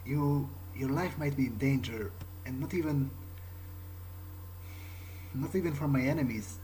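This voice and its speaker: A man improvising in character during a game playthrough, speaking in a halting way and repeating words. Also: medium pitch, mellow. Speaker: gamer roleplaying his character. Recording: faint background noise.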